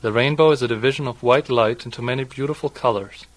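A man in his twenties from southwest Germany, a native German speaker with a German accent, speaking in a halting, explanatory way. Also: medium pitch. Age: 20s. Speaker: interviewee, doctoral student. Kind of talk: read passage, then unscripted personal account.

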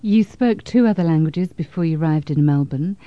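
A woman conducting an interview, asking polite, measured questions. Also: low voice, animated, dry recording, highs cut off.

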